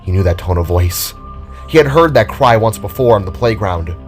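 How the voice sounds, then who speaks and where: A man's voice: lowish voice, lively intonation, normal speed, faint music behind. A man narrating a scripted audio drama in a solemn, theatrical manner.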